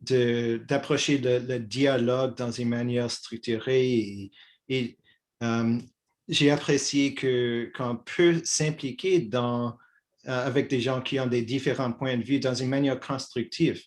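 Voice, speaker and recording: Older man, halting. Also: mid-range voice, fairly even intonation. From Quebec. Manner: halting, many restarts and fillers. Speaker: guest, peace activist. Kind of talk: conversational dialogue.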